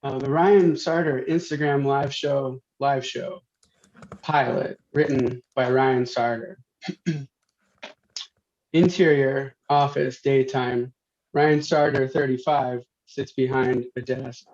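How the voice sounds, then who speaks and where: A man's voice: mid-range voice, fairly even intonation, takes it slowly, a little mellow, highs cut off, a little hiss or hum. A man reading out a script's title and stage directions as plain narration.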